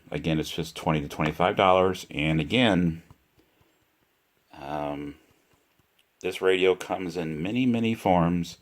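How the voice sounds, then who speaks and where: A man giving a casual, unscripted product demonstration, speaking deliberately and repeating himself for emphasis. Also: low voice. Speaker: product reviewer.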